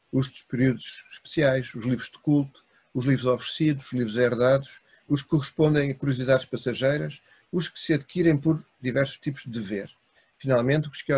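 A man with a European Portuguese accent giving a university lecture over a video call. He reads from notes in a measured way and lists items in short phrases. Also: medium pitch, a little mellow, slightly rough. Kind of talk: academic lecture read from notes. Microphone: video call webcam.

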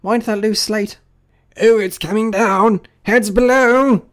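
A man voicing busy animal workers in a storybook, bickering and calling out brisk, colloquial orders. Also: a little high, sing-song, a little mellow, voice pushed up. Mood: bustling.

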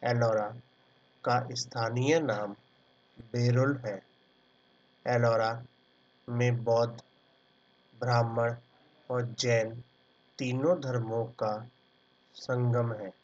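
A man's voice: medium pitch, flat delivery, slow pace, long pauses. A man teaching an art exam class, dictating notes word by word and then explaining pictures in a casual way. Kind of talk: slow dictated lecture over handwritten notes. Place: at a computer, screen recording. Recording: dull lo-fi sound with mains hum. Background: faint electrical hum.

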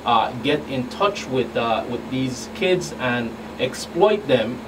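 A middle-aged man answering radio interview questions as a guest agent, halting and repeating words as he explains. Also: mid-range voice, lively intonation. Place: small radio studio. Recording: distant mic, clear room hum.

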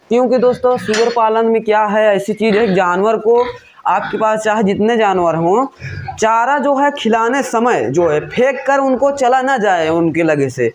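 A man's voice: extremely high pitch, slight background noise. A pig farmer giving a casual, rambling walkthrough of his farm shed, talking to viewers as friends and recorded on a handheld phone. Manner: rambling, many fillers, addresses viewers as friends.

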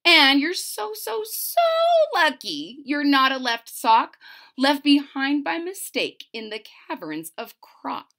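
A woman's voice: sits high, very animated, slow pace, sharp tone. A woman in her forties reading a children's picture book aloud with emphatic, sing-song delivery and casual asides. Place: small furnished room at home.

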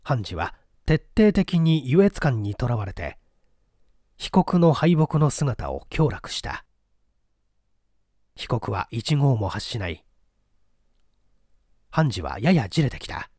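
A man narrating a literary mystery story from a script, with deliberate, dramatic storytelling delivery. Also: medium pitch, very animated, a little mellow, no room sound, clean studio sound. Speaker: audiobook narrator, stage actor.

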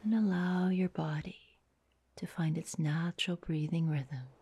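Female meditation guide: low, very breathy, soothing, slow delivery with long pauses. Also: steady tone, slight background noise. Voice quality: breathy, soft, half-whispered. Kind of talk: guided meditation, soft hypnotic narration.

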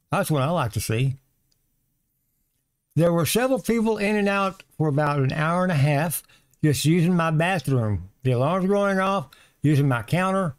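A man's voice: a little high, darkish tone. Sarcastic man reading and commenting. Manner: reads text aloud, then adds sarcastic asides and chuckles. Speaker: commentator reading a news article. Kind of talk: casual commentary on a read-aloud article.

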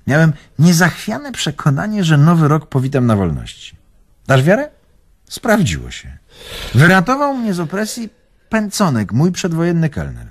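An actor playing an old, carefree Polish antique collector, telling stories expressively in a radio drama. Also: male voice, mid-range voice. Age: older. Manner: expressive, storytelling, old-world phrasing. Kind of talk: radio drama acting, reminiscing monologue.

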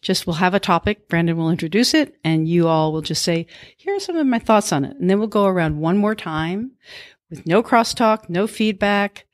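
Woman, low voice, earnest facilitator, dry close sound. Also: normal speed, no room sound. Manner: earnest, expressive, uses imagery. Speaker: guest author explaining a game. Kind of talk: group discussion facilitation.

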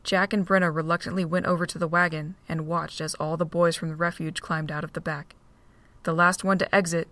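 Narrator, woman, low-ish voice, dry close sound. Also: no room sound. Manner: steady storytelling. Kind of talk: scripted narration of a fan audio drama.

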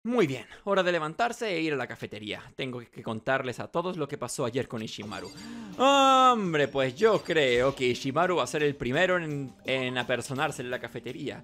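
A man's voice: medium pitch, very animated, moderate pace, sharp tone. A man streaming a video game, reading its dialogue aloud with casual commentary. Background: game music.

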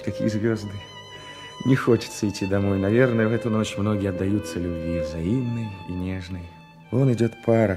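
A man plays a hot-headed young cavalier in a radio play. He declaims impulsively and emotionally in a fairly low voice. Music plays clearly behind him. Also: natural voice. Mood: lovestruck. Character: impetuous, lovestruck, jealous young French swordsman. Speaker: radio drama actor. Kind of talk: theatrical radio drama acting.

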